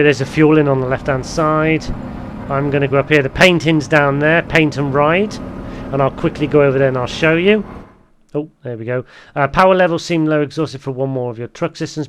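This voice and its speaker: A man's voice: fairly high pitch, a little hiss or hum. A man hosting a casual gameplay tutorial, explaining things in a rambling way. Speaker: gaming video host.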